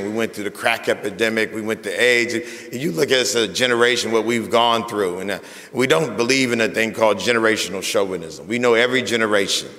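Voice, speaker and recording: A raspy-voiced man in his fifties giving a rousing speech to an audience. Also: lowish voice, fairly bright tone, big-room sound. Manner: rousing, call-and-response energy. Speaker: convocation speaker.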